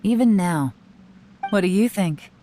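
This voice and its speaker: A woman's voice: mid-range voice, expressive, measured pace, mellow, a little hiss or hum, natural voice. An actress playing a composed, teasing female agent who confronts a suspect coolly. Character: cool, sly female secret agent. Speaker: game character.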